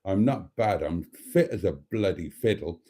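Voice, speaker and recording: A man's voice: low voice, expressive, unhurried, warm tone. A British man in his fifties chatting casually on a live stream, rambling with frequent ums and calling his viewers darlings. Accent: British English. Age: 50s. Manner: chatty and self-deprecating, calls viewers darlings. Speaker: vlogger host.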